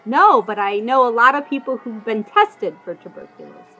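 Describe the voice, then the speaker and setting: A woman's voice: a little high, big pitch swings, moderate pace, sharp tone. An American woman from Ohio answering questions casually as a podcast guest. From Ohio, United States. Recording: dull lo-fi audio with music.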